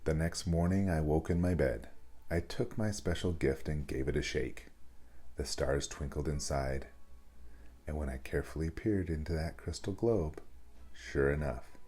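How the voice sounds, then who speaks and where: A man narrating a children's bedtime story as a reader, with expressive, dramatic storytelling. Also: extremely low pitch, a little slow, husky.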